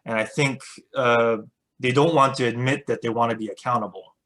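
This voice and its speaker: A man hosting a casual interview, speaking in a halting way with many fillers while searching for his words. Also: medium pitch, fairly even intonation, fairly bright tone, rough recording. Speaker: host.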